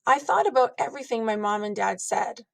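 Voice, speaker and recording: A woman reading a children's book aloud with expressive narration, drawing out key words for emphasis. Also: on the high side, brisk, creaky, dry recording.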